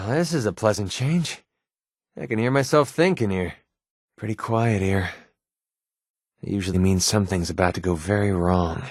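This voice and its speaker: A man's voice: lowish voice, normal speed, long pauses, breathy edge, no room sound, clean studio sound. A man with an American accent playing a sarcastic, wisecracking young mercenary companion in a video game. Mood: calm, easygoing. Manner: sardonic, wisecracking, colloquial. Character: wry, sarcastic young male wasteland mercenary. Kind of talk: video game companion dialogue, naturalistic acting.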